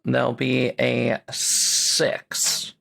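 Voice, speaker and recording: A man's voice: medium pitch, completely flat delivery, drawn-out words, creaky, very noisy. A man chatting casually at the table as a player in a tabletop game.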